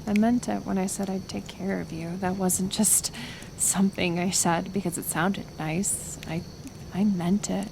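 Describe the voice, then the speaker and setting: Casting: woman as kind, lonely half-elf healer for ASMR roleplay; unhurried, hushed, tentative delivery with some vocal fry. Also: mid-range voice, fairly even intonation, noisy background. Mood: earnest, tender. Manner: soft, hesitant, soothing, with pauses. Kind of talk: intimate ASMR roleplay acting.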